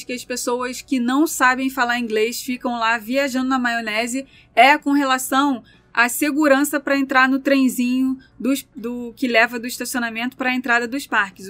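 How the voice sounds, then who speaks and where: A woman's voice: high voice, steady tone, normal speed, hardly any pauses, a bit bright, dry recording. A Brazilian woman with a Brazilian Portuguese accent, chatting casually and explaining things through lists of examples. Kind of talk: casual chat.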